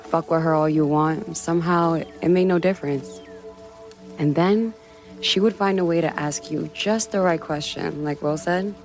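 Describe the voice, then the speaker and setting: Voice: mid-range voice, moderate pace, close to an everyday voice. An actor playing an earnest, grieving friend in an audio drama, speaking plainly and from the heart over music. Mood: tender, wistful. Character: earnest, grieving friend remembering a beloved girl. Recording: music under the voice.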